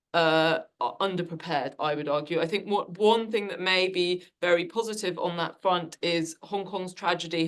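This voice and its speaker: Woman moderating a panel, low voice. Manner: conversational, with restarts. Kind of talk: panel discussion. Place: lecture hall.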